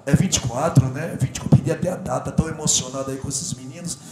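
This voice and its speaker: A man's voice: mid-range voice, steady tone, fast. A middle-aged man hosting a studio interview show, talking in an effusive, rambling way with a lapel mic. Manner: effusive, rambling, jokes and shouts at crew. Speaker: talk show host. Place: TV studio set. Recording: echoey studio with audible background noise.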